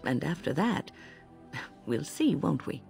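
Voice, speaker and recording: An actress playing a serene elderly healer in a video game, speaking in a measured, philosophical and gently wry way. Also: female voice, low-pitched, big pitch swings, gravelly, exaggerated voice. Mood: serene, reflective. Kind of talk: video game character dialogue. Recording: game audio with music behind.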